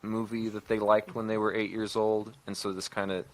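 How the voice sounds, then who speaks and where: A man speaking as a podcast host in a casual discussion, thinking aloud with halting phrasing. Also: lowish voice, flat delivery, low-quality recording. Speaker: podcast host and writer-producer.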